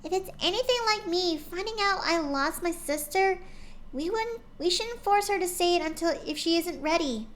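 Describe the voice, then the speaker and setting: A woman voicing a hyper, bubbly young female pony who squeals and bounces with excitement. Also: extremely high pitch, normal speed, voice pushed up. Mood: eager.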